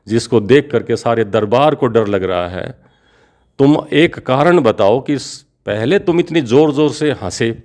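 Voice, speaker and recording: A man voicing a frightened, demanding king who questions in urgent, high-pitched cries. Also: darkish tone, close to an everyday voice.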